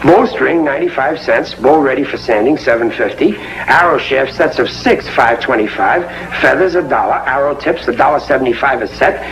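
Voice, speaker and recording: A man's voice: medium pitch, animated, moderate pace, few pauses, a bit bright. An actor playing a blustering, stubborn middle-aged American father who stammers and fancies himself a handyman in a 1950s television sitcom. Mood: stubborn, instructing. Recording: muffled, noisy old 1950s television soundtrack.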